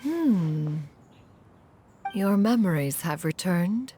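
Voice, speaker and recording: A woman's voice: on the low side, animated, very slow, music in the background. A woman voicing a composed, lofty immortal mentor in a video game, with formal, stately speech. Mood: composed, grave. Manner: formal, stately, says "one" for herself. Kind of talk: dramatic video game voice acting.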